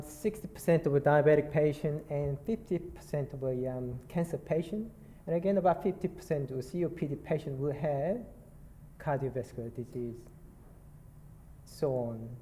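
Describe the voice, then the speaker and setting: A man with a Korean accent speaks at a conference in a halting way, full of fillers. His voice is soft and mellow. It rings through a large reverberant hall over faint ambient noise. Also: fairly high pitch. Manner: halting, self-deprecating, many fillers. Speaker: nurse presenter. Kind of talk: conference talk.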